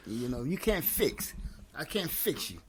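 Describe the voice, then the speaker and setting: A man speaking as a guest on a recovery talk, earnest and folksy, giving slow advice. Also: a little high, very animated, rough recording.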